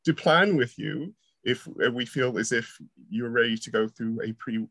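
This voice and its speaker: A man's voice: mid-range voice, normal speed, vocal fry, a bit bright, no echo. A middle-aged American man answering podcast interview questions, with many hesitant fillers and a friendly manner. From United States. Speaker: university researcher guest.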